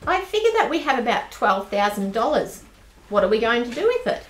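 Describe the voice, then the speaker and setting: An actress playing a sympathetic, bold accomplice in an amateur radio play. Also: female voice, fairly high pitch, sing-song, brisk. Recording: roomy amateur recording.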